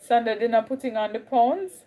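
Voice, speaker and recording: A woman's voice: on the high side, fairly quick, mellow. A young woman with a Jamaican accent, chatting casually with viewers on a live stream. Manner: chatty, greets viewers, drifts between Caribbean accents. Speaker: livestream host.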